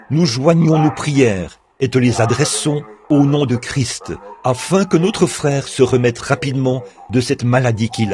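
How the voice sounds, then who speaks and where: A man reads a translated sermon aloud at a middle pitch, measured and earnest in the manner of a preacher. His voice-over sits on top of the original congregation recording, whose crowd sounds come through clearly behind him. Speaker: French reader of a translated sermon.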